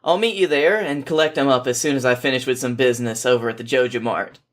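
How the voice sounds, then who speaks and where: A man's voice: mid-range voice, fast talker, close to an everyday voice. A man voicing a friendly, easygoing young farmer in a story, casual and obliging. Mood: friendly, reassuring.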